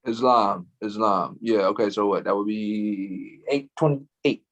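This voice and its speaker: A man's voice: on the low side, measured pace, fairly bright tone, highs cut off. A man co-hosting a casual astrology chat, laid-back, with frequent laughs and lots of fillers. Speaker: astrology show co-host.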